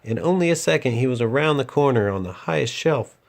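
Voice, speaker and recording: A man's voice: mid-range voice, lively intonation, brisk, creaky. A man reading a children's picture book aloud as a storyteller and host.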